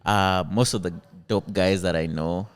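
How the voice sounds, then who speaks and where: A man's voice: deep voice, fairly even intonation, a little slow, clean tone. A man co-hosting a podcast, asking questions in a laid-back way and repeating words as he starts. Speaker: podcast co-host. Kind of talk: casual podcast conversation.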